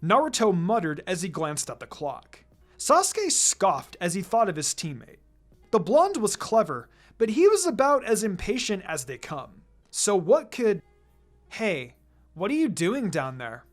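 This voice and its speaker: Fan fiction narrator, mid-pitched, animated intonation, background music. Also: unhurried. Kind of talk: expressive story narration.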